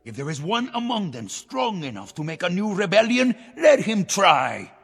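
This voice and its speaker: An actor playing a paranoid, bitter revolutionary general in a 1960s television drama, with a theatrical, deliberate, menacing delivery. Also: male voice, sits high, sing-song, fairly bright tone, breathy edge, exaggerated voice. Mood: defiant, ruthless. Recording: old TV soundtrack, echoing, music underneath.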